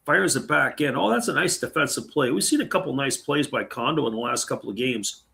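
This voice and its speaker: A man with a gravelly, slightly worn voice calls a baseball game pitch by pitch. He talks in a relaxed, chatty way and stops now and then to answer viewer comments. His voice has a raspy edge from talking for a long time. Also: fairly quick. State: voice getting raspy. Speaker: play-by-play commentator. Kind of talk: live baseball play-by-play with chat reading.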